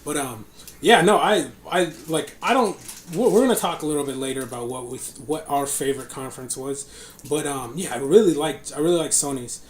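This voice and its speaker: A man's voice: fairly high pitch, brisk. A young man hosting a casual podcast, rambling with fillers and laughing often. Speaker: podcast host. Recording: echoey room, faint noise.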